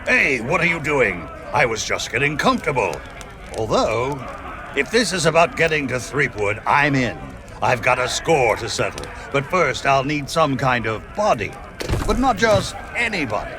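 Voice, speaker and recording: An actor voicing a boastful, villainous demonic talking skull in a video game, theatrical and grandiose in manner. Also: male voice, mid-range voice, big pitch swings, moderate pace, noisy background, natural voice. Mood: scheming, grandiose. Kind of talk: video game character acting.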